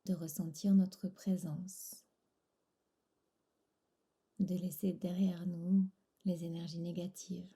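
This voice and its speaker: A woman guiding a relaxation meditation in a soft, breathy, soothing voice, pausing between short phrases. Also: on the low side, level tone. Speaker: yoga nidra instructor.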